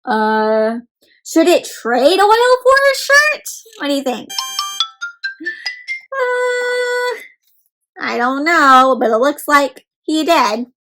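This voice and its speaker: A woman doing an animated, interactive picture book read-aloud for children, full of questions to the listeners. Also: high-pitched, drawn-out words, pauses often, soft background music, poor audio.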